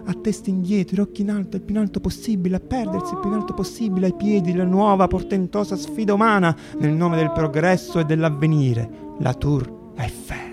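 A man's voice: sits high, fairly even intonation, brisk, few pauses, music in the background. A man narrating radio theatre as an actor-storyteller, dramatic and emphatic, repeating phrases for effect.

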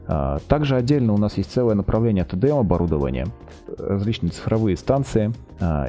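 A man with a low and very mellow voice speaks as a company guest on an informal interview show. He presents in businesslike, sales-like phrasing. Faint music sits underneath. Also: muffled recording. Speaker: company representative, project manager, interview guest. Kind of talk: informal product presentation and answers.

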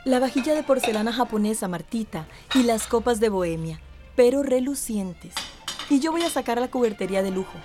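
An actress playing a fussy, suspicious and bossy wealthy wife in a radio drama. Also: female voice, on the high side, animated, a little fast, over music, close to an everyday voice.

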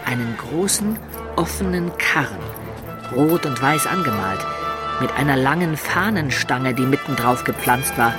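A seasoned storyteller adventurer played by a man narrates a radio play in the first person. The voice is medium in pitch and measured. Loud music runs underneath. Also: animated, close to an everyday voice. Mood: vivid, puzzled. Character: male adventurer recounting his own past exploits.

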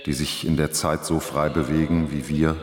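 Radio drama self-important director: bass-deep, formal and exasperated, recorded in a reverberant hall. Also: male voice, flat delivery, normal speed. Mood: bemused. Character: bewildered institute director, male, mature, self-important. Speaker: institute director. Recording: echoey hall, long reverb.

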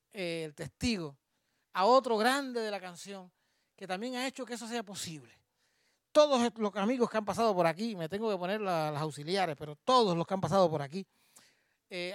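A man's voice: sits high, no room sound, clean studio sound. A man with a Cuban accent presenting a concert, moving from a spoken introduction into playful, rhythmic improvised rhyming verse with self-mocking asides. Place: small music bar venue.

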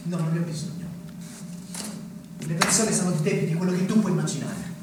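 A man's voice: high-pitched, a little fast, close to an everyday voice. Actor as a bitter accusing man, cavernous echo. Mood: bitter, confronting. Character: accusing, bitter man from a small provincial town. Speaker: stage actor. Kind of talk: theatrical stage acting. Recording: very echoey, noisy, distant stage recording.

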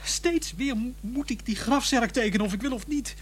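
An actress playing a distraught, obsessive young artist, stammering and insistent, in a radio play. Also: female voice, on the high side, normal speed, natural voice. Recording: faintly noisy, humming radio play recording.

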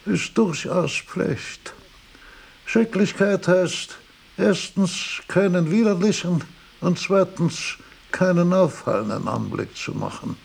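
An actor playing a fussy, pedantic elderly philosopher who speaks deliberately in a radio drama. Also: male voice, high voice, creaky, darkish tone, husky, heavily acted. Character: elderly philosopher Kant, pedantic, fussy, precise old man.

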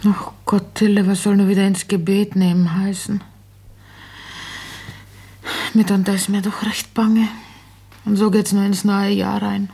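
An actress playing an anxious, humble old mother in a German radio drama, homely and hesitant, with a raspy voice. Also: mid-range voice, little pitch movement, normal speed, mellow. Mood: anxious, plaintive. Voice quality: raspy, creaky.

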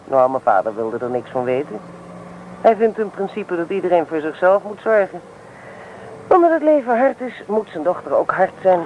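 An actress playing a headstrong, teasing young student in a radio play, blunt and self-assured in naturalistic dialogue. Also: female voice, lowish voice, very animated, moderate pace, narrow, tinny audio. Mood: frank, reflective. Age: young adult. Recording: noisy old radio play recording.

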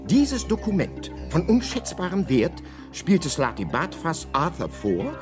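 Wry guidebook narrator over music. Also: male voice, on the high side. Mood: wry, storytelling. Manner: wry, deadpan asides with deliberate hesitations. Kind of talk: scripted radio play narration. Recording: music behind the voice.

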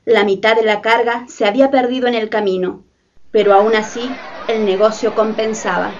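A woman's voice: a little high, steady tone, normal speed, a little mellow, far from the mic, muffled recording, slight background noise. A woman reading scripted dramatic narration for a radio drama.

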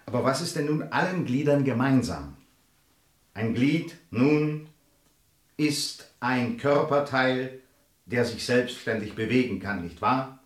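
An actor playing a patient, pedantic village pastor who questions in a measured, schoolmasterly way. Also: male voice, mid-range voice, lively intonation. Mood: patient, instructive. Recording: roomy, echoing interior.

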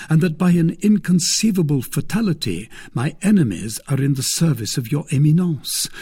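A man acts out a young, brave guardsman from a historical novel. He is deferential and formal, choosing his words warily, with highly animated pitch and a husky edge. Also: full character voice. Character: brave, respectful, wary young male guardsman.

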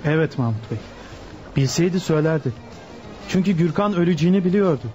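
A fairly high male voice of an actor plays a cynical lawyer in a radio drama. He sounds knowing and familiar. The recording is dull, with music clearly underneath. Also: animated, fairly quick, warm tone, natural voice. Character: shrewd, cynical lawyer confiding in a crime boss. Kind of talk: Turkish radio drama acting.

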